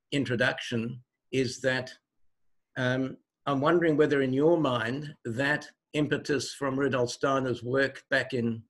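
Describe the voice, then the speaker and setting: Older male questioner from Switzerland, mid pitch, formal, erudite, discursive. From Basel, Switzerland. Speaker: audience member asking a question. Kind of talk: long, considered spoken question.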